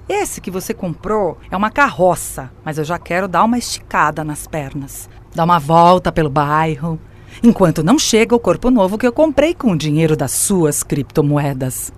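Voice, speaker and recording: An actress playing a scornful, grandiose artificial intelligence in an audio drama, mocking and domineering as she turns on her creator. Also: fairly low pitch. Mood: gloating, bossy.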